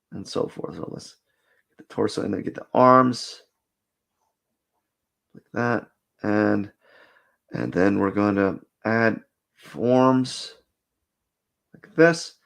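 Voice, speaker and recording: A man streaming live as he draws, talking casually in a raspy, cracky voice and rambling as he corrects himself and thinks aloud. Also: fairly low pitch. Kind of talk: casual live stream chat while drawing.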